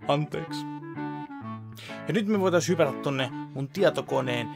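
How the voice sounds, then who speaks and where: A man's voice: fairly high pitch, vocal fry, over music. A young man casually explaining his fan dubbing work step by step at his home desk, talking close to the camera. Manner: relaxed, explaining step by step with fillers. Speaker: vlogger and fan dubber. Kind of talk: casual behind-the-scenes chat. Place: home room at a computer desk.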